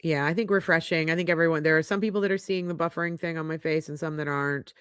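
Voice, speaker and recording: A woman's voice: sits low, steady tone, fast, clean tone, no echo. Congested middle-aged woman hosting a stream, frank chatty talk, dry close mic. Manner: chatty, frank, reads viewer comments aloud. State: congested, sniffling. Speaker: podcast host reading live chat. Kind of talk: casual livestream chat. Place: home podcast studio. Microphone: studio microphone.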